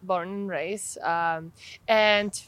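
A woman's voice: lowish voice, steady tone, drawn-out words, fairly bright tone, no room sound. A woman from Poland, an athlete and trainer answering interview questions earnestly, restarting sentences as she searches for words.

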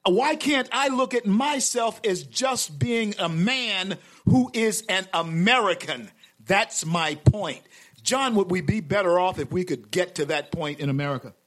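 A man's voice: sits high, lively intonation, unhurried, fairly bright tone. A man hosting a talk show, interviewing a guest with emphatic, rising swells of delivery.

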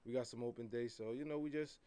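Easygoing rapper man, smooth voice. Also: medium pitch, steady tone, fast talker, dark tone. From New York. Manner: easygoing, filler-heavy. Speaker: touring rap artist. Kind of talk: casual interview chat.

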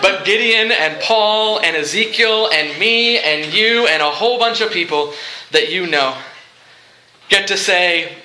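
A man preaching a sermon to a room, speaking in short, deliberate phrases with rhetorical repetition and some humour. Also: high voice, background noise. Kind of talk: sermon with movie illustrations and humour. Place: live talk in a large room before listeners. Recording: echoey room.

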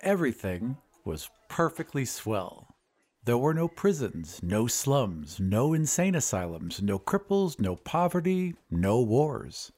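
A man's voice: mid-range voice, big pitch swings, slow, a little mellow. A man hosting a storytelling podcast, reading scripted narration. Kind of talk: scripted audiobook-style narration.

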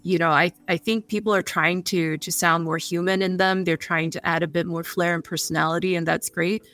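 A woman's voice: fairly low pitch, fairly even intonation, a little fast, a bit bright, faint music behind. A woman who works as a marketer, speaking as a panelist in a thoughtful way with many fillers. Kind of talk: panel discussion.

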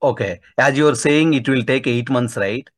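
A man calling in for advice, asking spontaneous questions in a tentative, halting way. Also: mid-range voice, no echo. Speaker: caller seeking immigration advice.

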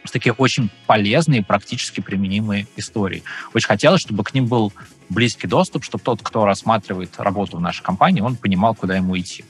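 Male presenter, rather low husky voice, conversational explainer peppered with English jargon, faint music underneath. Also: fast. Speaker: designer giving a presentation. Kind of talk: informal explanatory conference-style talk.

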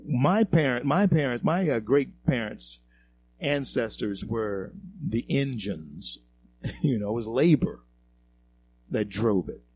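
A talk show host from Louisiana, giving a halting monologue full of fillers and repeated words that swells into emphatic peaks. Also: male voice, medium pitch, animated, darkish tone, a little hiss or hum. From Louisiana, American South.

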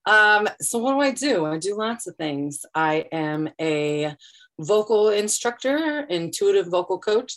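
A woman from San Diego who works as a vocal coach and musician introduces herself on a group video call in a playful way, laughing easily. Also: on the low side.

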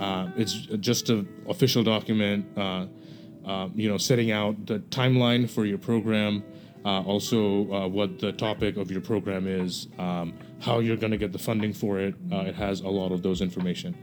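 A young man from Bangladesh, a podcast guest, explaining things casually with many hesitations and fillers. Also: fairly low pitch.

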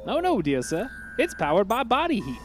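Formal, animated young tech nerd. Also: male voice, sits high, normal speed, slight background noise. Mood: pedantic, deferential. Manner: formal, verbose, butler-like politeness. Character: nerdy, formal young male tech-genius student, eagerly polite. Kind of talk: comedy cartoon acting.

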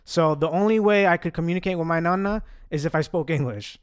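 Young guy first language italian, chatty q&a, bit of a stutter, smooth clear voice, dead dry sound. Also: a little high, brisk, dry recording. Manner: chatty, joking, slight stutter with restarts. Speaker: host answering fan questions. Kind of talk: casual Q&A chat.

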